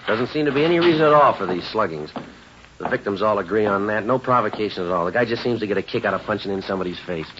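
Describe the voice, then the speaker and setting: An actor playing a terse, deadpan police detective sergeant in an understated 1950s radio crime drama. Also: male voice, on the low side, fast, close to an everyday voice. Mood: weary, flat. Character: clipped, matter-of-fact male police detective sergeant. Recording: dull, noisy old radio recording.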